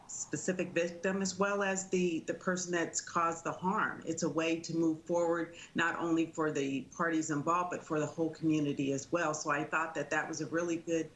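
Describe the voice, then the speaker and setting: A woman speaking as a legal commentator, giving measured analysis in a news interview. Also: low voice, slight background noise, poor audio. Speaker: legal commentator, defense attorney.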